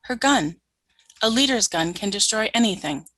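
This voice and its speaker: An actress playing a flat, obedient female alien soldier who answers in short, literal replies in a radio play. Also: mid-range voice, normal speed, no room sound.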